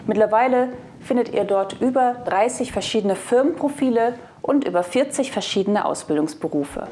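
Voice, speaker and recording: Woman presenter with a mellow, soft-toned voice. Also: fairly high pitch, animated, moderate pace, a little hiss or hum. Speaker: video news presenter. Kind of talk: scripted review narration, casual address to viewers. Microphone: lapel mic.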